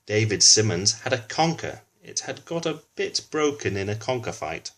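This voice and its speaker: A man's voice: lowish voice, sing-song, normal speed, a bit bright. A man reading a children's book aloud, narrating with chatty asides addressed to young listeners.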